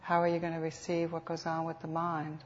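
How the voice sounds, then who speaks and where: Low, even-voiced woman guiding meditation. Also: slight background noise. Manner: unhurried, soothing, with reflective pauses. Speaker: meditation teacher. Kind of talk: guided meditation instruction. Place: meditation center. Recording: dull, lo-fi live talk recording.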